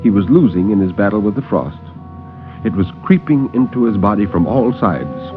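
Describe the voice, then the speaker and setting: Male narrator for vintage 1950s radio horror; grave, theatrical, widely varied pitch, slightly dull old recording. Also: expressive, music in the background. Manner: measured, grave, theatrical storytelling. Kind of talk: dramatic 1950s radio horror story reading.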